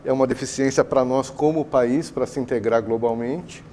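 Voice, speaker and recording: Brazilian man, consultant guest on a TV talk show, warm mellow tone, lively anecdotes, faint hiss. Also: mid-range voice, moderate pace. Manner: animated, chatty, acts out client dialogue. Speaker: international education consultant. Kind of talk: TV interview answers. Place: TV studio.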